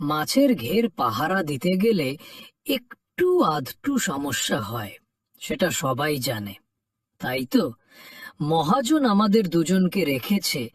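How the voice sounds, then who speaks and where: A man's voice: on the high side, no room sound, clean studio sound, far from the mic, full character voice. A man playing an easygoing village watchman and husband in a cartoon folk tale, speaking in a casual, reassuring way.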